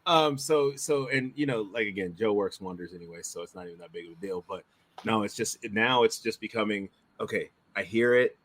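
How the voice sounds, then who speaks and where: A man with a fairly low voice chats casually as a director on a podcast. He talks haltingly, circling back over his phrases. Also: a bit bright. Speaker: director, show regular. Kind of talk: casual chat.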